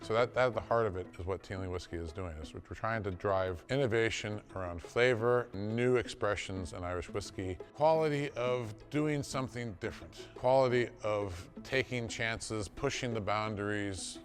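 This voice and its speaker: A man's voice: fairly low pitch, normal speed, hardly any pauses. A man from Portland, Oregon, with an American accent, talking about making whiskey in a documentary interview, thoughtful and enthusiastic. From Portland, Oregon, USA. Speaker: distillery's first employee, whiskey maker. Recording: interview with clear music underneath.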